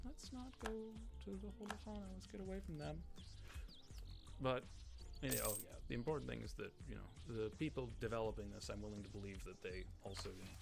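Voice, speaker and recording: Gravelly, rambling man doing game commentary. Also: medium pitch. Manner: rambling, self-interrupting, profane. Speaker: Let's Play gamer and commentator. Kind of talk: casual game commentary with dramatic readings of game text.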